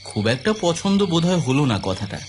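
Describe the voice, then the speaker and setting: A man narrating a scripted audio story in an expressive, suspenseful, dramatic storytelling style. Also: a little high, brisk. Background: clear background music.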